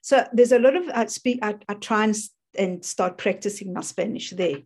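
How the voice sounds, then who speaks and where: A woman's voice: medium pitch, moderate pace, a little mellow. Chatty South African woman, laughs often. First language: English. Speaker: guest, fear coach. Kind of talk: casual interview chat.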